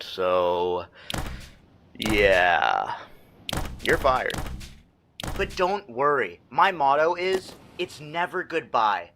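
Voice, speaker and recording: A man's voice: medium pitch, sharp tone, a little hiss or hum, exaggerated voice. A man playing a smug, creepy corporate boss in a video game, falsely chummy and theatrical, with a menacing edge. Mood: smugly menacing. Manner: over-friendly, theatrical, passive-aggressive. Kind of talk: video game character voice acting.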